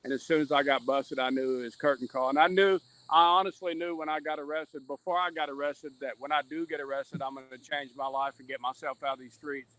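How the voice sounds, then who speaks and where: A man's voice: on the high side, steady tone, a little fast, hardly any pauses, a bit bright, no echo, low-quality recording. A middle-aged man telling his own story as a podcast guest, earnest and candid, circling back and repeating his points. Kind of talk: candid personal testimony in conversation.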